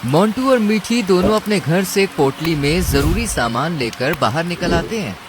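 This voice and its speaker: A man's voice: fairly high pitch, lively intonation, moderate pace. A man reading scripted narration for a cartoon story. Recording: clear rain and ambience noise.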